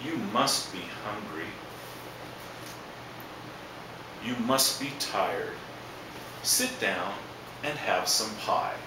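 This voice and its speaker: A man voicing a kindly, hospitable third little pig in a storybook, gentle and reassuring. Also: on the low side, animated, takes it slowly. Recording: echoey, distant, noisy room recording.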